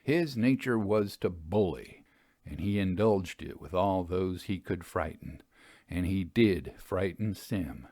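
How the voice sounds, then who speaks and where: A man with a lowish voice reads a Victorian ghost tale as an audiobook narrator. His delivery is measured, expressive and dramatic, and the recording is dry and close. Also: no room sound. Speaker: storyteller, narrator.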